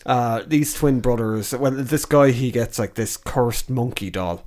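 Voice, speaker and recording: A man's voice: mid-range voice, measured pace, darkish tone. An Irish man co-hosting a podcast in casual chat, rambling, swearing casually and losing his train of thought. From Ireland. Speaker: podcast co-host.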